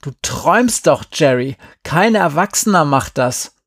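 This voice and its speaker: A man voicing a hard-faced but frightened child in an audiobook, blunt, forceful and slangy. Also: medium pitch, fairly even intonation, a little slow, dry recording, studio quality. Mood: urgent, scornful. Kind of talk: acted audiobook dialogue.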